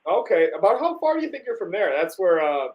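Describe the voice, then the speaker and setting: A man's voice: high voice, sing-song, fast, lo-fi sound. A young man from Wisconsin hosting a casual live stream, talking enthusiastically and rambling with plenty of fillers and restarts.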